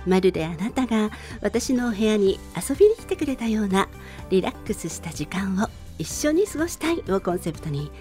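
A woman radio host speaking politely and gently to her listeners in a relaxed bedtime show style. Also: medium pitch, a little fast, music in the background.

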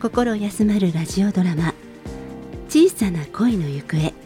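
A woman hosting a radio show, making scripted announcements in a polite, clearly phrased way. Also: medium pitch, animated, a little slow, warm tone, soft background music.

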